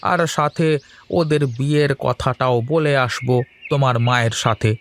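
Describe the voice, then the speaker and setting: A man voicing an eager old village uncle in a cartoon, speaking with hesitant, drawn-out phrases. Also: mid-range voice, a little hiss or hum, lightly acted. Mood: surprised, chatty. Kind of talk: Bengali cartoon dub acting.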